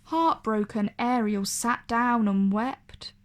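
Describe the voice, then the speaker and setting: A woman reading a storybook aloud for children in an expressive, deliberate, dramatic way. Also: fairly high pitch. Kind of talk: expressive read-aloud narration for kids.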